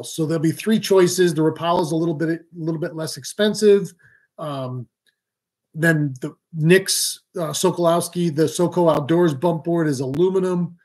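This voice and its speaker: A man's voice: on the high side, moderate pace, a little mellow. New man hosting a fishing live stream. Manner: talks with his hands, many ums and restarts. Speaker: fishing show host. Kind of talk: casual live-stream explanation. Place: small room at home, indoors. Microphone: webcam or laptop mic, video call framing.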